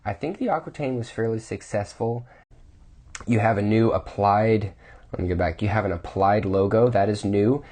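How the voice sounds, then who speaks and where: A man's voice: fairly low pitch, normal speed, darkish tone. A man reviewing watches casually, thinking aloud with restarts, his voice a bit off from a cold.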